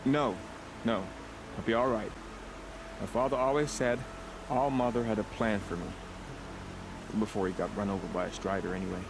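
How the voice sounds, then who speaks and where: Give the part of a failed young hunter: low-pitched and gravelly, halting and self-deprecating, as in a video game. Clear ambient noise sits behind the voice. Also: male voice, fairly even intonation. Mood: dejected.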